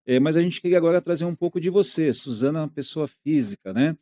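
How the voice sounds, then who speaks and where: A man's voice: a little high, expressive, fairly quick, mellow. A man hosting a podcast, who talks conversationally and asks his guest questions in a friendly way. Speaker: podcast host. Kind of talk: podcast interview.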